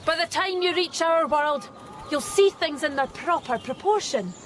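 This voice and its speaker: A woman playing an eerie alien replica of a child in a radio drama, glib, taunting and unnervingly calm. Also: sits high, normal speed, background noise. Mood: coolly menacing.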